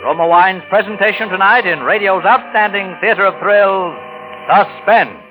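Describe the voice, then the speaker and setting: A man's voice: sits high, normal speed, rough recording. A man announcing a 1940s radio drama in a formal, dramatic style. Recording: vintage radio recording with music.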